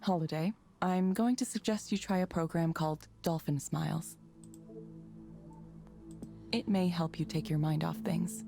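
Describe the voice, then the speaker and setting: Mellow, scripted AI counsellor. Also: female voice, lowish voice, steady tone, gravelly, soft background music. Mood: polite, neutral. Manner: measured, scripted, impersonal politeness. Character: calm, polite female AI counselling program, scripted. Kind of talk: visual novel game voice acting.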